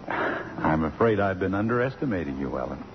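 An actor playing an earnest, wistful middle-aged academic gentleman in 1950s radio theater style. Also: low voice, very animated, moderate pace. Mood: rueful. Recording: muffled, hissy old radio broadcast.

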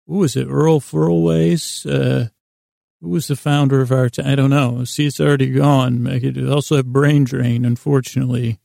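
A man hosting a bedtime podcast in a meandering, halting monologue, self-deprecating and silly, repeating and playing with words. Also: mid-range voice, creaky, warm tone, no room sound, studio quality. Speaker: podcast host.

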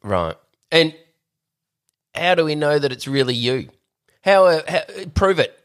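A man with an animated pitch plays the straight man. He questions each absurd claim in a dry, skeptical tone. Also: measured pace. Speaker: podcast host. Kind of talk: comedic podcast banter.

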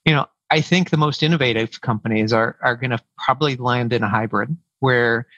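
A man talks through interview answers, often pausing and restarting his sentences. His voice sits dry and close with no room around it. The audio is a bit dull, as if through a modest mic. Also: mid-range voice, fairly bright tone, no room sound. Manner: hesitant, many fillers and restarts. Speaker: head of sales, podcast guest. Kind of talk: conversational interview.

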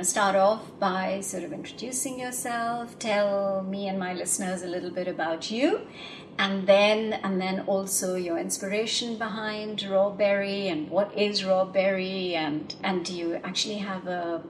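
A woman's voice: mid-range voice, fairly even intonation, unhurried, a little hiss or hum, rough recording. A woman podcast host asking long, rambling interview questions full of fillers.

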